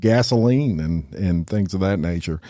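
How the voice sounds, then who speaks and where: A man's voice: sits low, normal speed, warm tone, no echo. Man, podcast co-host, folksy, dry close sound. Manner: folksy, drawling, says "y'all". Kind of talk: casual crime news chat. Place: podcast studio.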